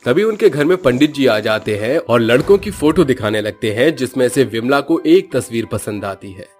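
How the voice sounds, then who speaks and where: A man narrating a scripted cartoon story in a steady, plain manner. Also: mid-range voice, normal speed, soft background music.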